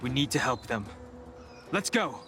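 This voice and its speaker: An actor playing a serious, earnest young hero in a video game, reserved and terse. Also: male voice, medium pitch, very animated, measured pace, music in the background. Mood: urgent. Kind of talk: understated game character acting.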